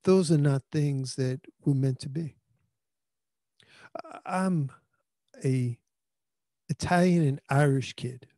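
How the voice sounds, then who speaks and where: New pastor preaching into handheld mic. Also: male voice, fairly high pitch, slow, long pauses, dark tone, dry recording, studio quality. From New York. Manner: earnest conversational preaching with frequent restarts. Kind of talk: sermon. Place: small indoor room. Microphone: handheld microphone.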